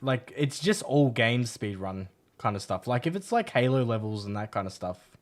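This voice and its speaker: A man's voice: mid-range voice, expressive, normal speed. A man chatting casually about games as a co-host on a podcast.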